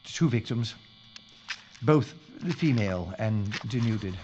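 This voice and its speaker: An actor playing a gruff but courteous London police inspector in an audio drama, with a brisk, commanding, proper manner. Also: male voice, lowish voice, lively intonation, dark tone. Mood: grim. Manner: brisk, commanding, proper British turns of phrase. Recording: dull, noisy recording with clicks.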